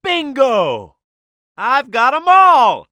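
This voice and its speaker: A tough young male echidna hero from a video game, cocky and quick to flare up. The pitch swings very widely in an emphatic delivery. The recording is close and dry, with no room sound. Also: wildly swooping pitch, sharp tone, no room sound, heavily acted. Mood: excited, urgent. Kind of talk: video game character voice lines, tutorial hints and battle shouts.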